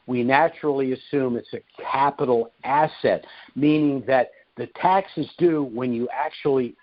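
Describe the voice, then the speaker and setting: A man hosting a question-and-answer call, explaining things deliberately and emphatically, repeating his key points. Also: on the high side. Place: conference call. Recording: muffled, narrow-band conference call audio.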